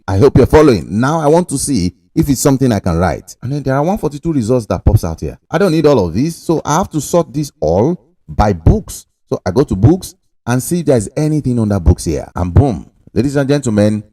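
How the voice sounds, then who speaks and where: A young man talks a viewer through a screen-recording tutorial in a lively, up-and-down voice with a salesman's push. His tone is mellow and relaxed rather than bright. It is recorded dry and close to a desk mic. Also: medium pitch, dry recording. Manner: animated, talks to the viewer directly, pushy salesman-like emphasis. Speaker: online money-making tutorial host.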